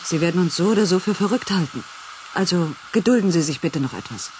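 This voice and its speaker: An actress playing a commanding, determined project leader of a research station, brisk and polite, in a science fiction radio play. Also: female voice, fairly low pitch, lively intonation, a little mellow, lightly acted. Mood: businesslike, polite. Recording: clear background noise and ambience.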